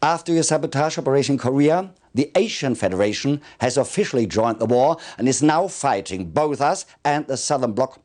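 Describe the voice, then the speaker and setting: An actor playing a formal military commander, giving measured, deliberate mission briefings in a video game cutscene. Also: male voice, medium pitch, animated, darkish tone, natural voice. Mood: official, serious.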